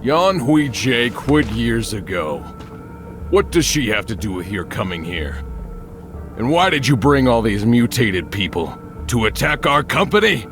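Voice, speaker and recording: An actor playing an arrogant, hot-tempered company boss, dismissive and commanding, in a video game cutscene. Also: male voice, mid-range voice, sing-song, a little slow, over music. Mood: suspicious, dismissive.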